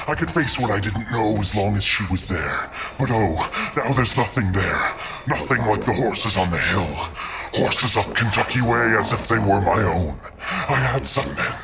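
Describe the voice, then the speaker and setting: Low, rambling monster in heavy noise. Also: male voice, creaky, heavily acted. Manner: incantatory, rambling, repetitive. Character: eerie birdlike humanoid monster, rambling mournful broken verse. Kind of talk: horror audio drama monologue.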